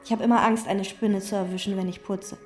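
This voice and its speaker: An actress playing an obsessive, lonely young chambermaid who speaks in terse, intense lines and inner monologue in a radio drama. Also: female voice, mid-range voice, steady tone, fairly quick, a little mellow, faint music behind, lightly acted. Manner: terse, intense, sometimes whispered.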